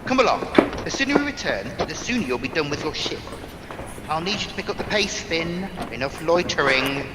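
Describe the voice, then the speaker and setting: A man's voice: on the high side, sing-song, brisk. A man playing a stern, stuffy supervisor in an audio drama, formal and reproving. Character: stern, stuffy supervisor keeping staff in line. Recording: echoey hall with loud noise.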